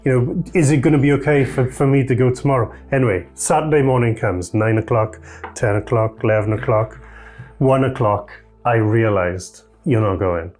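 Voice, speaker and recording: A foster father telling a lively, self-mocking story about his family. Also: mid-range voice, normal speed, soft background music. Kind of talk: anecdotal storytelling.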